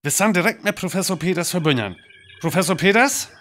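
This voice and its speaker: A man's voice: high-pitched, animated, a little fast, a bit bright. A man acting a radio newsreader in a drama, announcing a grave disaster formally and urgently with a North Frisian accent. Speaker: radio news announcer in a radio play. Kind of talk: scripted news bulletin in a radio play.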